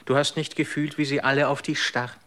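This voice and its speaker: An actor in a radio drama playing a devoted, worried companion, speaking gently and earnestly, often in a hushed voice. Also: male voice, a little high, little pitch movement, fast talker.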